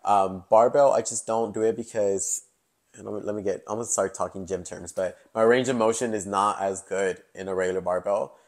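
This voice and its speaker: A man in his early twenties talks loosely on a sofa as a podcast host, his voice sitting a little low. He rambles with fillers and quick laughs. A clip-on mic picks him up clearly in a living-room set. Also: fairly even intonation. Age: 20s. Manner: chatty, laughs often, many fillers. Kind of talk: casual chat. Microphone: lapel mic.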